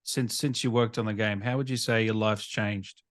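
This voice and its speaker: A man's voice: on the low side, steady tone, normal speed. A man hosting a casual interview, giving short agreeing responses and asking conversational questions. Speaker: interview host.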